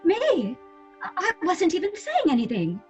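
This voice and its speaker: A woman's voice: very high voice, wildly swooping pitch, measured pace, soft background music, full character voice. A woman playing an eager, anxious young actress in an audio drama, earnest and apologetic in manner. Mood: flustered, apologetic. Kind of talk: dramatic audio fiction acting.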